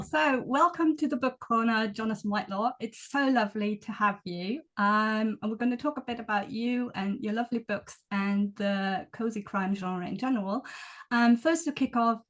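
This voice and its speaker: A woman's voice: fairly high pitch, fairly bright tone, poor audio. A woman interviewing a guest author, speaking friendly with filler ums.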